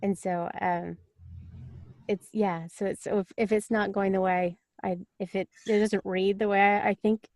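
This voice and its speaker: A woman's voice: mid-range voice, flat delivery, normal speed, darkish tone, dry recording, clean studio sound. A woman talking casually and chattily as an audiobook narrator on a panel.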